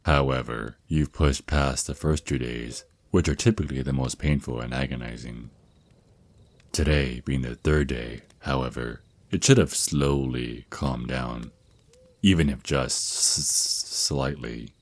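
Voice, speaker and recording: An extremely low-voiced vampire naga boyfriend, played by a man, unhurried and close in a dry recording. He speaks as a fond, protective mentor in an intimate ASMR roleplay, stretching his s sounds and stumbling now and then over slightly formal phrases. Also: no room sound. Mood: tender, explanatory. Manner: hesitant stammers, drawn-out s sounds, slightly formal wording. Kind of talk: ASMR roleplay, intimate one-sided scripted dialogue.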